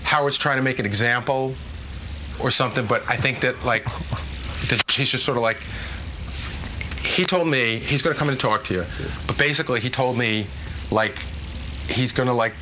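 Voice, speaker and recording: A man's voice: mid-range voice, normal speed, long pauses. A man in a casual private conversation, rambling hesitantly and leaning on filler words like "like". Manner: hesitant, rambling, heavy use of "like". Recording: taped conversation with faint background noise.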